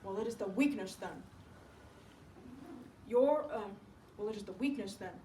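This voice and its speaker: A woman plays a gruff, matter-of-fact older male doctor in a dramatic story recital. He speaks bluntly and with measured pomposity. The recording is roomy and distant, with some noise. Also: mid-range voice, warm tone, lightly acted. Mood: grave, measured. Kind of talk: solo dramatic storytelling performance. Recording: echoey room, distant mic, background noise.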